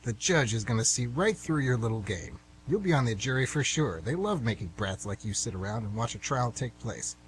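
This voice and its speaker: A man's voice: medium pitch, animated, fairly quick, few pauses, a little hiss or hum, natural voice, rough recording. A man playing a pompous, easily offended would-be novelist in his fifties in an amateur radio comedy. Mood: smug. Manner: pompous, grandiloquent, indignant. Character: pompous, defensive 52-year-old aspiring novelist man.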